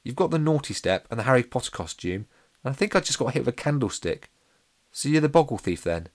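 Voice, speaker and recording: A man voicing a cheeky, bold schoolboy in a children's storybook, with excitable, slangy speech. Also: mid-range voice, fast, voice pushed up. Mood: suspicious.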